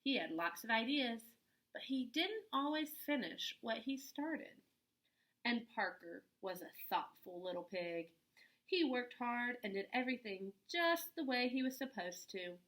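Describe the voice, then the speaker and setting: A woman reading a children's story aloud in an animated, deliberate way with dramatic emphasis. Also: on the high side. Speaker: children's story reader, teacher-style host. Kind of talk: expressive storybook read-aloud for young children.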